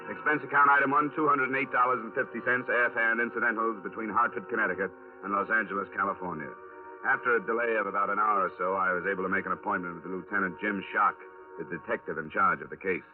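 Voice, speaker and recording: A man acting a brisk, businesslike insurance investigator in a 1950s radio drama, clipped and matter-of-fact. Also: fairly low pitch, steady tone, over music, close to an everyday voice. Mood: brisk narrating. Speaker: lead actor and narrator of a radio crime drama. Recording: narrow-band vintage 1950s radio recording.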